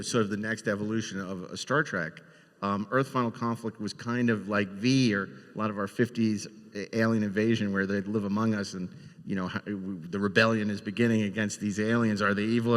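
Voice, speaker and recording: A man's voice: on the low side, fairly even intonation, brisk, a bit bright. A man hosting a panel, interviewing guests in a conversational way. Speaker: panel host, moderator. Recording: echoey hall with long reverb.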